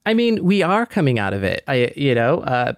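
A man co-hosting a podcast, speaking in a thoughtful, conversational way with a measured, earnest and optimistic manner. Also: mid-range voice, big pitch swings, no room sound, clean studio sound. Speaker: podcast co-host.

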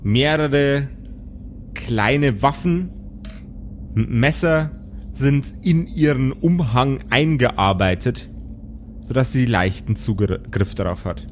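A man narrating an improvised pen and paper audio drama as game master, deliberately and with dramatic pauses. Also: medium pitch, expressive, slight background noise.

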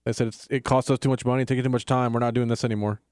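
A man's voice: mid-range voice, level tone, very fast, darkish tone, no echo, clean studio sound. A man co-hosting a talk show with casual, wry, sardonic sports banter.

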